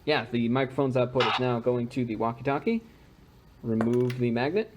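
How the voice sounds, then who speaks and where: A man's voice: mid-range voice, moderate pace, highs cut off, noisy background. A man explaining and demonstrating a homemade gadget in an informal, unpolished, conversational way, like a hobbyist.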